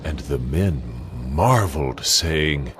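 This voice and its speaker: A male narrator reads a Bible story from a script. His voice is very deep, and music plays clearly behind him. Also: animated, slow.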